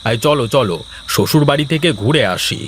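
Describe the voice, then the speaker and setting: A man's voice: a little high, animated, fairly quick, fairly bright tone, a little hiss or hum. A man voicing a reasonable village husband, loyal to his mother, in a Bengali cartoon story. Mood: coaxing.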